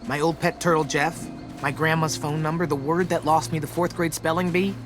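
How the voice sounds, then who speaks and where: Casting: male actor as wisecracking American teen boy, lighter high register, theatrical, game dialogue over music. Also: brisk, natural voice. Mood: wistful. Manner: animated, playfully theatrical. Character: wisecracking, dramatic teenage boy survivor, tender underneath. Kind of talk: video game voice acting, casual dialogue. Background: background music.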